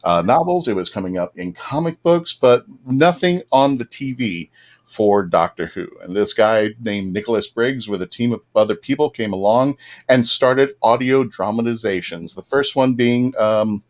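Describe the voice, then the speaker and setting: A man's voice: lowish voice, animated, normal speed. A man hosting a fan panel, talking casually to the audience and fellow panelists. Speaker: panel host.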